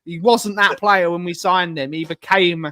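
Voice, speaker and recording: English male fan pundit, distinctly high voice, emphatic and insistent in casual football debate. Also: fairly even intonation, moderate pace, sharp tone. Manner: emphatic, insistent, repeats points.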